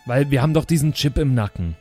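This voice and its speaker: A man's voice: mid-range voice, lively intonation, fast talker, warm tone. A man improvising in-character dialogue as a player in a role-playing podcast. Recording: music behind.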